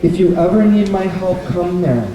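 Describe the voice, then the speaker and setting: A man's voice: high-pitched, normal speed, mellow, highs cut off, a little hiss or hum, close to an everyday voice. A man voicing a grateful, kindly monkey in a live puppet story, with polite, effusive thanks and reassurance. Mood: generous, friendly. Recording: echoey, distant live event recording.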